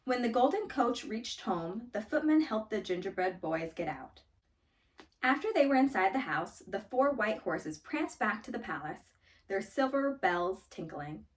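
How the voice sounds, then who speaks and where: A woman reading a children's story aloud in an expressive, dramatic storytelling manner. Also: medium pitch, measured pace, recorded from a distance. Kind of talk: scripted storybook read-aloud.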